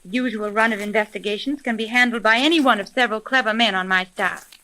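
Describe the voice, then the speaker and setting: An actress playing a brisk, commanding young female private detective in a crisp, authoritative 1930s radio drama style. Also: a little high, pushed and bright, a little hiss or hum, poor audio. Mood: businesslike, firm. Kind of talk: 1930s old-time radio drama acting.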